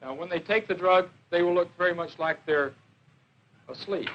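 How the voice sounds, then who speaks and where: A man's voice: high-pitched, moderate pace, highs cut off, slight background noise. A man giving an informal classroom slide lecture as a police drug educator, on a 1970s educational film soundtrack. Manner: questioning, repeats and confirms answers.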